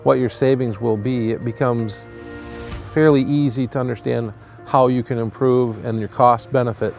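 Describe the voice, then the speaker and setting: A man's voice: medium pitch, steady tone, moderate pace, vocal fry, a little mellow, soft background music. A man giving a testimonial in an interview.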